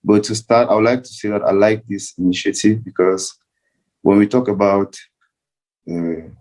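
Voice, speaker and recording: A man's voice: lowish voice, fairly even intonation, moderate pace, a little mellow. A young man with a Cameroonian accent giving remarks as a guest speaker on a discussion panel. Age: young adult. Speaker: guest speaker, student climate activist. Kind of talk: prepared remarks on a video panel.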